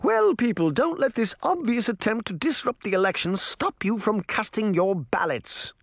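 A haughty, scornful storybook queen, played by a male reader, declaims in a high voice. She is imperious and indignant, her pitch swinging widely in a close, dry recording. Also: sing-song, moderate pace, dry recording, full character voice.

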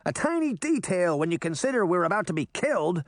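A man's voice: high voice, very animated, normal speed, no room sound, studio quality, pitched above the performer's own voice. A man voicing a cocky, defiant boy fairy trickster with a boastful, daring delivery. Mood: flippant.

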